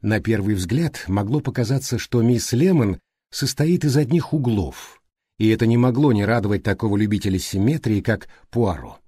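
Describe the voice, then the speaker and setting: Male audiobook narrator, dry close sound, measured literary delivery, mellow tone. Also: lowish voice, animated, slightly rough, no echo.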